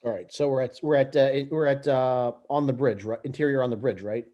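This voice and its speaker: Guy reading stage directions off a script, soft mellow tone, average pitch, trips over words. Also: fairly even intonation. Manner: halting, stumbles over words. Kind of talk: amateur script read-through.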